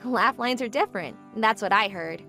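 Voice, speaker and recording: A woman's voice: on the high side, lively intonation, moderate pace, vocal fry, sharp tone, heavily acted. A woman playing a composed, forward young businesswoman in a manga dub, speaking politely and matter-of-factly. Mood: playful, cheerful. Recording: background music under the voice.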